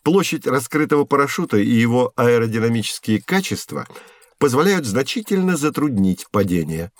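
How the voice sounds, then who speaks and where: An actor playing a patient, kindly physics professor who explains and gently corrects in a scripted educational audio drama. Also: male voice, medium pitch, animated, moderate pace, no echo, natural voice. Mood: patiently explaining.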